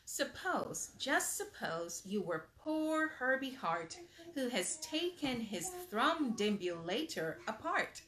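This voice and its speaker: High-pitched, animated woman reading aloud. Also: takes it slowly. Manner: expressive, emphatic storybook delivery. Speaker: host reading children's books aloud. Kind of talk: read-aloud bedtime story with asides to children.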